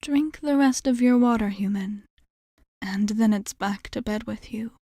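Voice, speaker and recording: A woman playing a courteous, fond, centuries-old vampire bartender in an ASMR roleplay, speaking formally and softly, often in a breathy whisper, with lingering pauses. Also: mid-range voice, dry recording, studio quality, lightly acted. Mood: tender, soothing.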